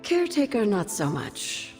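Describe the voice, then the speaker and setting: A knowing older sorceress mentor from a video game, speaking in measured, fondly wry tones. Her voice rings in a long hall reverb over faint music. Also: mid-range voice, big pitch swings, a little mellow. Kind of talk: game cutscene dialogue, expository storytelling.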